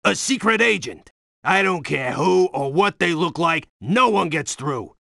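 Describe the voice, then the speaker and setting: A bossy video game guard barks orders in a forceful, gravelly voice. His commanding delivery swings widely in pitch. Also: expressive, unhurried, no echo, studio quality, exaggerated voice. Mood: alarmed, stern. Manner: barking, commanding. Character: bossy, gruff male security guard.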